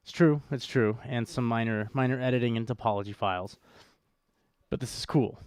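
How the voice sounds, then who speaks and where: A man with an average-pitched voice talks as the host of a live stream. He explains things conversationally and without a script. The sound is dry, with very little room on it. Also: fairly quick, a little mellow, clear, resonant tone, no echo. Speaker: podcast host.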